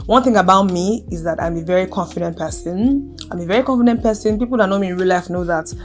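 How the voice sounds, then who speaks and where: A woman's voice: lowish voice, fast, faint music behind. A young adult woman vlogging casually to camera, earnest and emphatic, who speaks with a stutter and repeats her key phrases.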